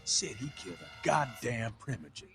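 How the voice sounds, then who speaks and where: A man's voice: mid-range voice, sing-song, moderate pace, gravelly. An actor playing a hostile, menacing male vampire rebel in a video game, snarling threats and curses. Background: game music.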